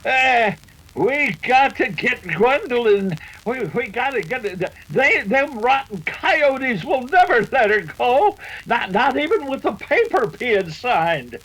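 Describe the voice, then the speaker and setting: A stammering old American rancher for a radio western, feisty and easily flustered. The voice is extremely high for a man and swoops up and down at a slow, halting pace. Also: very animated, fairly bright tone, heavily acted, rough recording. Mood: agitated, vengeful.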